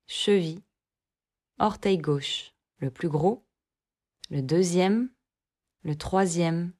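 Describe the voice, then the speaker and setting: A woman guiding a yoga nidra relaxation, giving calm, measured instructions in short phrases with long pauses between them. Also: fairly low pitch. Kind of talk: guided relaxation meditation, scripted instructions.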